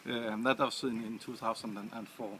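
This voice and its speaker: A man from Denmark with a Danish accent, answering interview questions in English with many pauses and restarts. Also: medium pitch, little pitch movement, fairly bright tone, a little hiss or hum. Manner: hesitant, many restarts and fillers. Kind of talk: casual interview.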